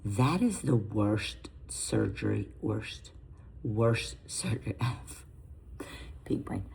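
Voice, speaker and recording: A woman in her fifties with a raspy voice, a fitness coach chatting casually to camera in a home vlog, self-deprecating and loose. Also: extremely low pitch, animated, drawn-out words, darkish tone, a little hiss or hum. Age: 50s. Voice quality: raspy, gravelly. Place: home garage on a treadmill.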